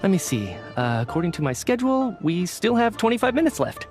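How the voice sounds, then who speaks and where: An earnest, talkative male fan from a video game. His delivery is very lively and varied, gushing and a little flustered. Light music sits behind the voice. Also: high-pitched, brisk. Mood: flustered, apologetic. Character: eager, talkative, schedule-bound theater fan, an energy expert. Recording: music under the voice.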